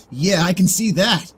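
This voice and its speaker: An actor playing an easygoing joker with a sincere heart in a fan audio drama, talking in a casual, chatty, expressive way. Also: medium pitch, husky, heavily acted. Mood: amused.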